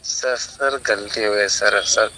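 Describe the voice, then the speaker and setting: Man, very sharp bright voice, clipped repetitive phrases, service agent, phone conversation. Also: lowish voice, fairly even intonation, slow pace, rough recording.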